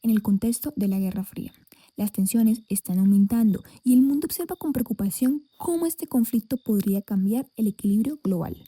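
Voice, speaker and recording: A woman playing a grave news reporter, with husky, breathy, formal scripted narration. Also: fairly high pitch, a little fast, dark tone, slight background noise, lightly acted.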